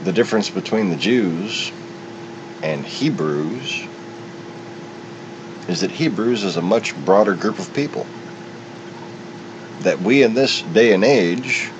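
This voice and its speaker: A man giving an unscripted, opinionated monologue, speaking in a halting, digressive way as a solo commentator. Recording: muffled, with loud steady rumble.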